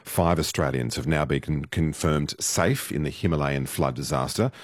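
A man's voice: extremely low pitch, normal speed, no room sound, clean studio sound. A man hosting a radio news program, giving friendly, upbeat introductions. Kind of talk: scripted news program presenting.

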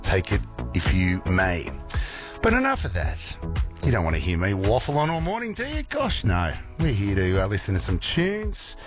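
A male Australian radio presenter with a croaky morning voice, chatting casually and playfully with listeners between weather and songs. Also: lowish voice, huge pitch swings, moderate pace, music in the background. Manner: chatty, playful, rambling asides. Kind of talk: casual breakfast radio chat. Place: radio studio.

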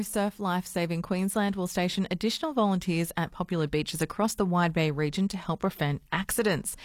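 Brief: female newsreader, lowish voice, measured, dry studio sound. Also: no room sound. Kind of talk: news reading. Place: radio studio.

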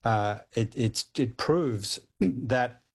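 A man's voice: medium pitch, lively intonation, very slow, breathy edge. A man speaking as a guest expert on a radio show, explaining studies at length with many hedges like kind of and you know.

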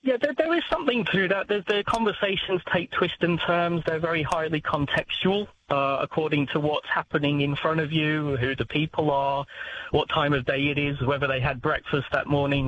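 A man's voice: fairly high pitch, fairly bright tone, a little hiss or hum. Hesitant man, dull radio sound. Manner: hesitant, many uh fillers and restarts. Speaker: language expert guest on radio. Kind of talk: radio talkback interview.

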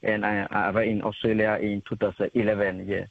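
A young man from Thailand, a community interpreter, speaking halting non-native English with many fillers and restarts. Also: fairly low pitch, level tone, gravelly, no room sound. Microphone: telephone. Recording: tinny phone line.

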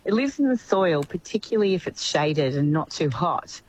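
An Australian woman with a slightly croaky voice, giving expert veterinary advice as a guest in a radio interview. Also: sits low, very animated, normal speed, a little mellow, a little hiss or hum, poor audio.

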